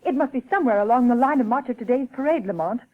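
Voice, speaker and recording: A woman's voice: a little high, expressive, very fast. A woman playing an earnest, anxious companion to a detective in an old radio drama, urgent and questioning. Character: earnest, anxious young lady companion of a sleuth. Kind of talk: 1940s radio drama acting. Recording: thin, narrow old radio broadcast.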